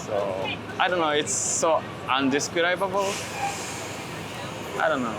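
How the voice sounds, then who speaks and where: Soft-spoken man, halting English, street noise. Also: on the high side, big pitch swings. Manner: halting English with many fillers and restarts. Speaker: interviewed street photographer. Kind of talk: casual walking interview. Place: city street outdoors. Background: traffic and street noise.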